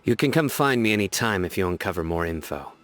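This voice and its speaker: A man's voice: low-pitched, lively intonation, quick pace, a bit bright. A man voicing a serious, determined investigator who speaks firmly and reassuringly in a game cutscene. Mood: steady. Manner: firm and reassuring.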